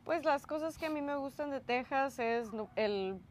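A woman's voice: sits high, animated, normal speed, slight background noise. A woman from Tamaulipas, Mexico, co-hosting a casual podcast chat outdoors by a pool, easygoing with short replies. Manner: easygoing, short replies, gently corrects her partner. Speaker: podcast co-host.

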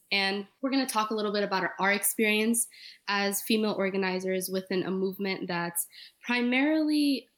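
A nineteen-year-old woman from Egypt co-hosting a podcast, speaking in an animated, casual way. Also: medium pitch. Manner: animated, laughs between phrases. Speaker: podcast co-host, organizer.

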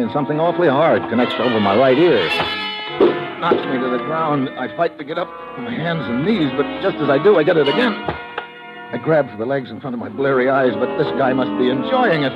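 An actor playing a tough, wisecracking American investigator in an old radio adventure, speaking in a wry, clipped, hardboiled way. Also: male voice, mid-range voice, lightly acted. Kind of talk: 1950s radio adventure acting and first-person narration. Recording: muffled vintage radio recording with music.

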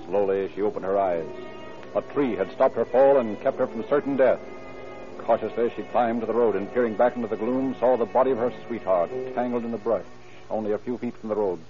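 A man's voice: on the low side, a little fast. A man narrating dramatic scripted story in the style of old-time radio. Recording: muffled old radio recording. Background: music.

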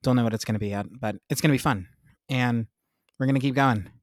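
A young man chats through his solo podcast with a stuffy, congested voice, upbeat and quick to poke fun at himself. His voice sits at an ordinary middle pitch. It is recorded close and dry, with no room sound. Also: dry recording. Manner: chatty, upbeat, self-mocking asides. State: congested, clearing throat. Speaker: podcast host. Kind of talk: casual solo podcast chat.

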